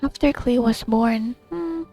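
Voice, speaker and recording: A woman's voice: fairly high pitch, a little slow, warm tone, faint music behind. A woman playing a video game and giving casual commentary to herself as she goes. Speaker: video game player recording a playthrough.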